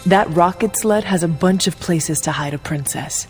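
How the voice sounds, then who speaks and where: A man playing a high-voiced, earnest young hero. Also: moderate pace, faint music behind, natural voice. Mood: earnest, precise. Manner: precise, formal, uncontracted speech. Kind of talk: video game cutscene dialogue.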